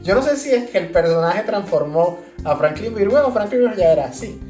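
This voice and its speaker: Casual podcast co-host: Venezuelan woman, soft dark tone, roomy echoing sound with faint music underneath. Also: medium pitch, expressive, a little fast.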